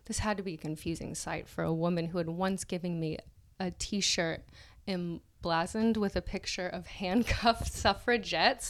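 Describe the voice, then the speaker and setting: A woman co-hosting a podcast, reading an essay aloud in a theatrical way and breaking off into casual banter. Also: medium pitch. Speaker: podcast co-host.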